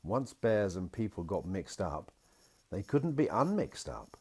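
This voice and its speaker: A man voicing a gentle, patient father in a picture-book story, reassuring his small daughter as he explains things. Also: low voice, very animated, a little slow, husky, exaggerated voice.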